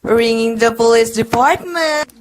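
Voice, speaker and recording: A woman playing an unhurried, officious telephone operator in a radio play, formal and procedural. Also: fairly high pitch, natural voice. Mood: officious, unmoved. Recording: clearly noisy recording with clicks.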